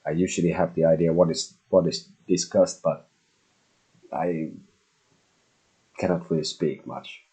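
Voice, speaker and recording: A Finnish-accented man in middle age talks in a low, husky voice. He rambles casually as the host of a live tarot stream, restarting phrases and dropping the odd swear word. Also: fairly even intonation, a little slow, pauses often, dark tone, poor audio. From Finland. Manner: loose and chatty, with restarts and mild swearing.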